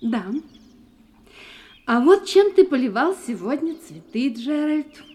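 An actress playing an affectionate, anxious young wife in an audio play, with expressive theatrical delivery. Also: female voice, high-pitched, slow pace, breathy edge, heavily acted. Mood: teasing. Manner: expressive theatrical delivery, swinging from playful teasing to uneasy hushed asides. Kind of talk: Russian audio play acting.

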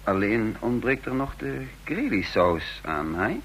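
Brief: a genial gentleman spy, easygoing and musing, ordinary mid pitch. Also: takes it slowly, a little hiss or hum, full character voice. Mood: genial, relaxed. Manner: relaxed, genial, musing with hums. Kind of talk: vintage radio drama acting.

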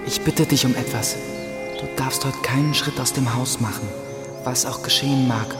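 A man's voice: mid-range voice, fairly even intonation, normal speed, natural voice. A male actor playing a bold, trusting young miller's apprentice in an earnest, impulsive radio play style. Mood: urgent, pleading. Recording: music loud behind the voice.

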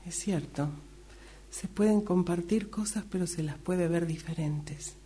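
A woman's voice: fairly low pitch, measured pace, mellow. A middle-aged woman with an Argentine accent chatting casually face to face in a workshop exercise. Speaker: radio drama workshop participant. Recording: humming, noisy amateur recording.

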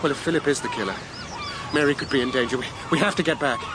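A man's voice: medium pitch, background noise, close to an everyday voice. Urgent doctor playing detective, acted by a man. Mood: dawning alarm. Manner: urgent, halting, questioning. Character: earnest male doctor turned amateur investigator. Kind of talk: radio drama acting.

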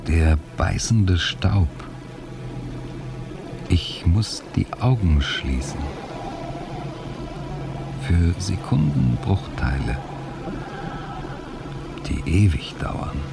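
A helpless, brooding inner voice in a radio play, extremely slow and very low. Phrases halt and sink into a hush, gravelly at the edges, with music clearly behind. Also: male voice, expressive, heavily acted. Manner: halting, brooding, hushed phrase endings. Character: man trapped in slowed time, narrating his helplessness inwardly. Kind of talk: radio drama inner monologue.

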